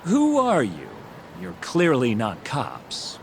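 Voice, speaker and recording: Male club gatekeeper, low but lively intonation, formal and guarded, game drama, faint background noise. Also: measured pace. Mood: suspicious. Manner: stiffly polite, suspicious. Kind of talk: video game cutscene acting.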